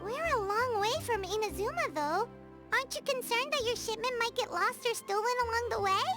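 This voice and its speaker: A woman's voice: very high-pitched, lively intonation, a little fast, music in the background. A woman voicing a tiny, bubbly female fairy companion who talks about herself in the third person, in a video game. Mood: curious.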